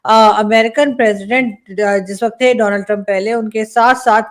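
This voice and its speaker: High-voiced Pakistani woman commentator. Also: steady tone, normal speed. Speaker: news commentator. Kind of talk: spoken political commentary to viewers.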